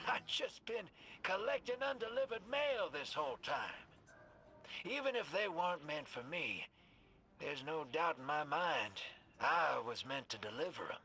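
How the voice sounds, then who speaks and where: A folksy, guilt-ridden scavenger. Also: male voice, a little high, sing-song, unhurried, sharp tone, exaggerated voice. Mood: wistful. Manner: drawling, folksy, reflective. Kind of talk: video game voice acting.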